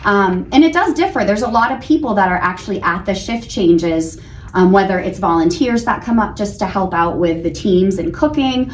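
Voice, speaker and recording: A woman's voice: mid-range voice, expressive, moderate pace. A woman from New Hampshire with an American accent, a teacher giving chatty, earnest answers in a casual interview. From New Hampshire, United States. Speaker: elementary school teacher being interviewed. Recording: roomy, distant mic, faint background noise.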